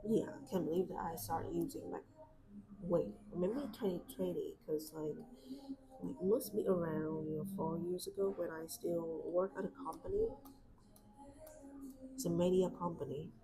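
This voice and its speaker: A woman's voice: on the low side, steady tone, normal speed. A young woman with a Vietnamese accent, casually chatting and thinking aloud with lots of fillers as she draws on a live stream. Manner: soft-spoken, thinking aloud with many fillers. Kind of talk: casual chat while drawing. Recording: clear background street noise. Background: outside street noise.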